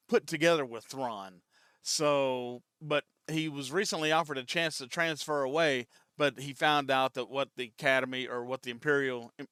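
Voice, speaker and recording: A man's voice: medium pitch, pushed and bright, clear, resonant tone, no room sound, studio quality. A man hosting a reaction video, giving a loose, rambling, conversational recap. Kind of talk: casual recap and reaction commentary.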